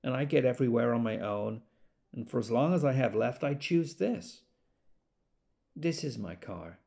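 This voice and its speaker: Earnest group devotee, gentle, hesitant, lively intonation, some fry, audio monologue. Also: male voice, medium pitch, normal speed. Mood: hesitant, calm. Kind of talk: dramatic monologue in an audio drama.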